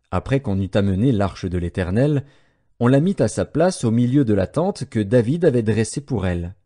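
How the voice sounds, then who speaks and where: A man narrating scripture as an audiobook, reading from a script in a measured, solemn manner with clearly separated phrases. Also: mid-range voice, mellow.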